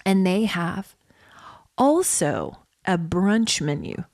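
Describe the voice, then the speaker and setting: A woman's voice: on the low side, animated, slow, a little mellow, no room sound, clean studio sound. A woman co-hosting a podcast in casual, lighthearted, bantering chat. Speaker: podcast co-host.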